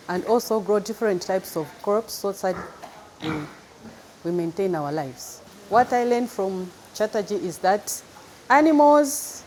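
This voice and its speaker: A woman's voice: mid-range voice, darkish tone, slight background noise, low-quality recording. A woman from Zambia with a Zambian accent, speaking as a farming trainee in a documentary interview.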